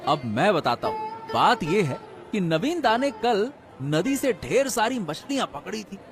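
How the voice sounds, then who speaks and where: A man's voice: high-pitched, sing-song, a little slow, over music, full character voice. A man voicing a scheming, suspicious village fish seller in a cartoon story, with an exclaiming, insinuating manner.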